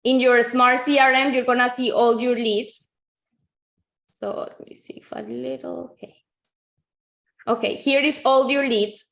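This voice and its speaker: Woman, Colombian accent, native Spanish speaker, fairly high pitch, conversational tutorial delivery, explanatory, repetitive phrasing. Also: fairly bright tone. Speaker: real estate agent giving a software tutorial. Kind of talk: informal screen-share tutorial.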